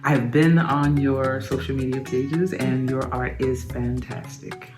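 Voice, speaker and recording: A woman's voice: a bass voice, steady tone, slow, over music. A woman hosting a travel vlog, narrating and interviewing in an effusive, enthusiastic way. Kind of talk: voice-over narration and casual interview.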